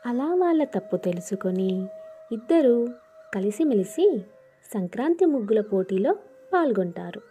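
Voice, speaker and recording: A woman's voice: mid-range voice, big pitch swings, normal speed, pauses often, mellow, soft background music. A woman reading scripted narration for a cartoon moral story.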